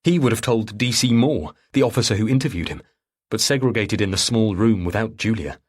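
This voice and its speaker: A man's voice: on the low side, a little fast, breathy edge. A man reading a thriller audiobook as narrator, in a dramatic scripted style.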